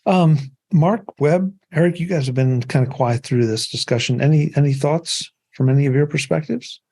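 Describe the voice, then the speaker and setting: Male host, mellow husky tone, relaxed and reflective, steers the panel. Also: a little high, normal speed. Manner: relaxed, reflective, calls on guests. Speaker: show host. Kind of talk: casual panel discussion.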